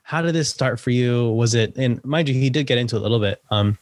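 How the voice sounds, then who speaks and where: A man's voice: mid-range voice, fast talker, no room sound, studio quality. A young man being interviewed about his work as an actor and writer, chatty, laughing often and using many fillers. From United States, Salvadoran family. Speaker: podcast guest, actor and writer. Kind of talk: casual interview chat.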